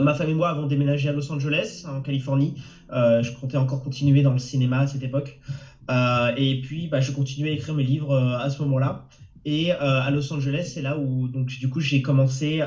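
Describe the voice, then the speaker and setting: A man's voice: medium pitch, a little mellow, echoey room. A man from France with a cold, a novelist answering webinar questions with lots of hesitant fillers and restarts over a muffled video call. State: has a cold. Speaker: novelist guest.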